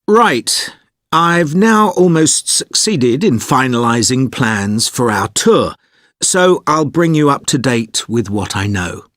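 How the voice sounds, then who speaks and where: A man playing an organised tour organiser briefing his group in an acted monologue, methodical and clearly enunciated. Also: medium pitch, big pitch swings, takes it slowly, fairly bright tone, no room sound, studio quality. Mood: businesslike.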